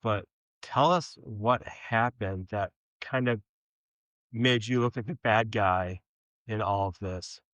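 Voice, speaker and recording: Midwestern podcast host, low voice. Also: male voice, slow pace, a bit bright, no echo, lo-fi sound. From Minnesota. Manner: friendly, conversational questioning. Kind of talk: podcast interview hosting.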